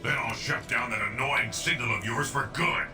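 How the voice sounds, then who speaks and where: An actor playing a smug, coldly confident male supervillain in a video game, taunting and deliberate. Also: medium pitch, natural voice. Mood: smug, threatening. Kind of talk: video game cutscene acting. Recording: echoey, distant, with music behind.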